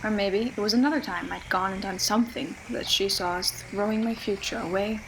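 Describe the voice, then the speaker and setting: A woman's voice: medium pitch, a little fast, natural voice. Wry, regretful young woman voiced by an actress, noisy recording. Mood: wry, wistful. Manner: reflective, wry, confiding. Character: regretful, stubborn young woman missing someone she lost. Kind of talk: radio drama monologue. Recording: clearly noisy, ambient background noise.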